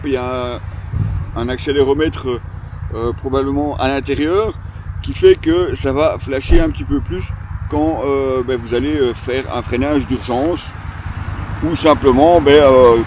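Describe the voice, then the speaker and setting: Belgian French man reviewing gear outdoors, noisy background. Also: medium pitch. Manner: hesitant, explanatory, conversational. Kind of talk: casual unscripted product review to camera. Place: outdoors, stopped during a motorcycle ride. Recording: clear outdoor ambience and traffic noise.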